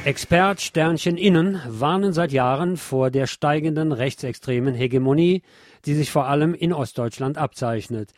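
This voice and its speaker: A man's voice: fairly high pitch, moderate pace, darkish tone, soft background music. A man reading scripted news and press reports as a radio presenter.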